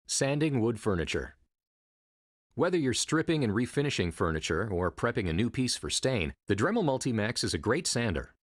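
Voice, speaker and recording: A man narrating a scripted step-by-step tool tutorial in a steady, instructive manner. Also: mid-range voice, moderate pace.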